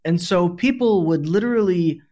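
Reflective man, fairly high voice. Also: normal speed, mellow. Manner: reflective, explanatory. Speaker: podcast host. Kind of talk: casual podcast conversation.

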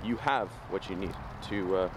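Deep-voiced man vlogging on a noisy street. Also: normal speed. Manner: casual, rambling, upbeat motivational, slangy. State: losing his voice. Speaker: vlogger host. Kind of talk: walking talk to camera, motivational. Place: outdoors on a city street. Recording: noisy outdoor street recording.

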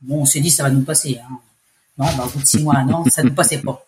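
A woman in her forties with an accent from France, telling her story in a casual interview, chatty and halting. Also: very deep voice, fairly even intonation, mellow, slight background noise. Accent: French from France. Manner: chatty, hesitant, restarts phrases. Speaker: expat interviewee.

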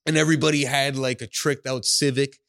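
Rambling smooth-voiced Canadian-raised man. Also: medium pitch, steady tone, a little fast, fairly bright tone, dry recording, clean studio sound. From Woodbridge, Ontario, Canada. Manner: rambling, full of "like" and restarts, laughing often. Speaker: comedian guest. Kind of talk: casual podcast storytelling.

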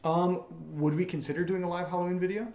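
A man's voice: high voice, fairly even intonation, fast talker, a little mellow. Casual male podcast host, echoey room. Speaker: podcast host, audio drama creator. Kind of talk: casual chat, live Q&A. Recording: roomy echo.

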